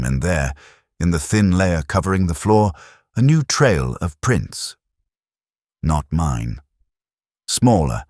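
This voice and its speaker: A man playing a brooding, lonely police inspector who narrates a mystery drama in a measured, literary, reflective way. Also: extremely low pitch, no room sound, clean studio sound, full character voice. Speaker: narrator of a detective story. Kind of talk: scripted first-person mystery narration.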